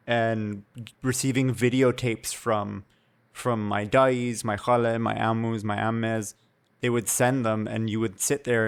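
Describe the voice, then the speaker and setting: A man's voice: medium pitch, smooth. Thoughtful man from Canada, co-host. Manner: thoughtful, reflective, hesitant. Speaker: podcast co-host. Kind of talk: casual chat.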